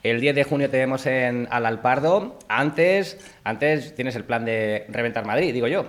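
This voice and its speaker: A man hosts a relaxed talk show, jovial and teasing as he thanks his guests. His voice sits at a middling pitch for a man. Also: a little slow. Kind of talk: casual chat, wrapping up the show.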